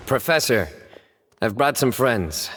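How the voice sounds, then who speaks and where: An actor playing a serious, composed investigator in a video game, dryly friendly, with a measured, deliberate delivery. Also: male voice, mid-range voice, a bit bright, in a large hall, slight background noise. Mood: matter-of-fact.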